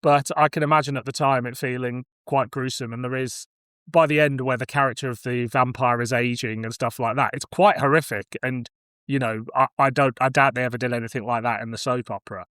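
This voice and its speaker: A man's voice: medium pitch, steady tone, a little fast, fairly bright tone, clear, resonant tone, no room sound, studio quality. A man talking casually and reflectively as he reviews film and television. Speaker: podcast co-reviewer.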